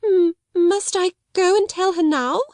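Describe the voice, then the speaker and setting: A woman's voice: very high voice, unhurried, darkish tone, dry recording, voice pushed up. A woman voicing a timid, easily flustered grown younger sister in a storybook, stammering and breathless.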